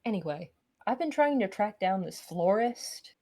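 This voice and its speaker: A woman plays a determined visual novel character with a mid-pitched voice. She talks casually and stubbornly, like a friend making her case. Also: expressive, moderate pace, mellow. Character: determined, casual woman hunting for a mysterious florist.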